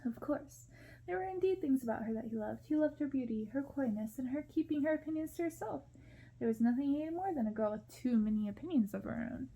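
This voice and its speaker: Woman doing asmr story narration, hushed, really dark soft tone, slightly high. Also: expressive, fast, husky, rough recording. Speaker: ASMR reader.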